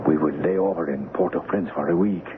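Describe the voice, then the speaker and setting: A man acting a besotted, boastful Haitian sailor in a 1970s radio drama, with theatrical, deliberate delivery. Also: low voice, lightly acted. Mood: elated, proud. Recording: muffled, noisy 1970s AM radio broadcast.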